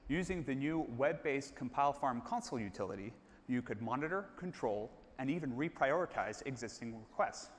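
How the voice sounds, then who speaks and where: Man demoing software on a reverberant stage. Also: medium pitch, fairly quick, slight background noise. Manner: steady explanatory, addresses colleagues by name. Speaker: product presenter. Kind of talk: live stage product demo. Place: large stage, hall. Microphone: lapel mic. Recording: reverberant hall.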